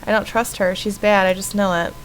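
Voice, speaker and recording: A woman's voice: medium pitch, flat delivery, brisk, natural voice, poor audio. A woman voicing an earnest, pleading and distrustful young girl in a horror visual novel. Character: pleading, distrustful young girl, little sister trapped in painting. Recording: faint background noise.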